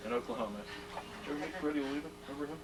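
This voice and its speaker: A man's voice: on the high side, rapid-fire. A middle-aged man presenting at a hall of fame induction, genial, with joking asides, reading aloud from a plaque. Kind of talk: ceremony speech. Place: ceremony hall. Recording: distant mic, noisy room.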